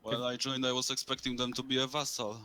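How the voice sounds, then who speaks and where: Deadpan Polish-accented man on voice chat. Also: medium pitch, quick pace. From Poland. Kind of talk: casual chat over voice call.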